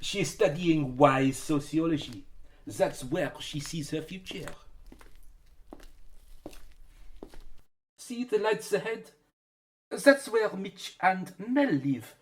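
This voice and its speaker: A high-voiced, excitable man in a radio play, acted by a man. He is an evasive crook and lover, defending himself with lively swings of pitch. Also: slow, a little hiss or hum. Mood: guarded. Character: defensive, evasive male ex-drug dealer, quarrelling lover. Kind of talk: radio drama acting.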